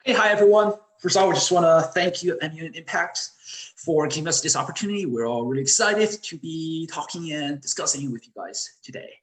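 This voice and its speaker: A man's voice: a little high, brisk. A teenage male student, presenting informally on an online call. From Chicago area, United States. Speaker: student presenter, education executive of a youth charity. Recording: roomy echo on online call.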